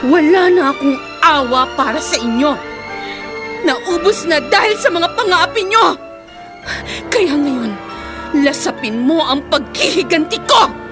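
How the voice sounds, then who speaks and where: A woman's voice: sits high, lively intonation, measured pace, long pauses. An actress playing a wronged woman who vows vengeance, anguished and menacing, in melodramatic radio drama style. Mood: anguished, vengeful. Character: wronged woman vowing vengeance on her oppressors. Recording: loud dramatic music behind.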